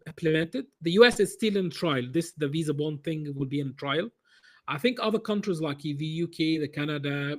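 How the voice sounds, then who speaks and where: A man answering interview questions in a halting way, with many restarts and repeated words. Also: fairly high pitch. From Egypt. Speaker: business consultant guest.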